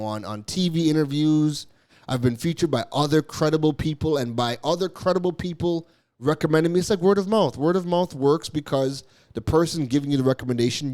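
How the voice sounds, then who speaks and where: A man's voice: a little high, fairly quick, a little mellow. Emphatic male business podcaster. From Canada. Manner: emphatic, repeats key phrases, occasional stumbles. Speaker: podcast host. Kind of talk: solo business podcast monologue.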